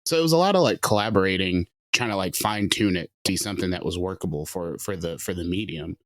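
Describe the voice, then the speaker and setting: A male writer chats casually in a podcast interview, peppering his talk with "like" and "you know". His voice sits low. The sound is dry and close. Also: quick pace, dry recording.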